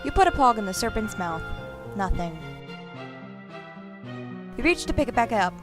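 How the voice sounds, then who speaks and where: A woman's voice: a little high, big pitch swings, moderate pace, over music. A woman voicing a sardonic young girl adventurer, narrating and talking in a game fan dub with wry, casual asides. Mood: curious, surprised. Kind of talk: fandub game narration and dialogue.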